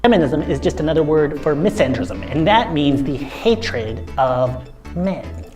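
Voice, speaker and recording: A smug, stubborn neckbeard puppet played by a woman from a comedy puppet show. The voice sits somewhat low and swings around a lot, pedantic and mocking, over faint music. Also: lively intonation, measured pace, a little mellow, far from the mic.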